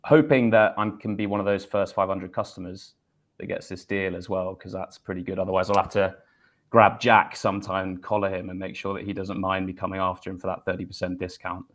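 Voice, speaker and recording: Low-voiced male guest over a video call, friendly, explains at an easy clip. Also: little pitch movement, fairly quick. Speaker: brewery marketing head, guest.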